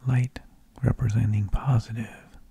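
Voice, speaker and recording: Male ASMR host: whispered, husky and gravelly, unhurried halting monologue, very dry close sound. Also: mid-range voice, flat delivery, warm tone, no echo. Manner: slow, halting delivery with long pauses. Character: mild, tedious energy vampire who lulls people to sleep.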